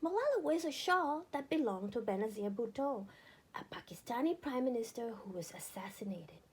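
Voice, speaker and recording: A woman narrating a children's book aloud in an expressive, deliberate storytelling manner with emphatic phrasing. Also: on the high side, husky.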